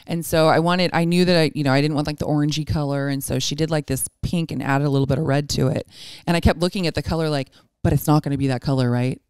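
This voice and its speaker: A woman's voice: sits low, steady tone, fast talker, hardly any pauses, a little mellow, clear, resonant tone, no echo. A woman in her forties chatting casually and candidly, with a self-deprecating way of talking. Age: 40s. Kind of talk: casual chat.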